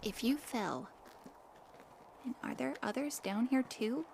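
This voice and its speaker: Earnest high-voiced girl heroine, noisy. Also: takes it slowly, gravelly, full character voice. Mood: worried. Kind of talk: animated series voice acting. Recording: noisy playback of animated show.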